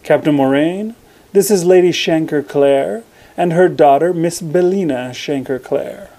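A man's voice: fairly high pitch, unhurried, warm tone, voice pushed up. A man voicing a nervous male aide who makes formal introductions in an audiobook. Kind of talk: audiobook character voice.

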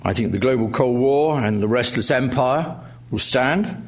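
A man's voice: medium pitch, measured pace. Hesitant man chairing a lecture. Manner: hesitant, frequent uh fillers, wry humor. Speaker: event chair introducing a speaker. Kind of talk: formal introduction speech with jokes. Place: lecture hall with audience.

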